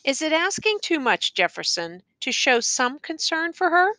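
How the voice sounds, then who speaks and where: A woman playing an earnest, exasperated male schoolteacher, bright-voiced. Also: high voice, very animated, moderate pace, no echo, pitched above the performer's own voice, heavily acted. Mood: pleading. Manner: pleading, insistent, educated diction.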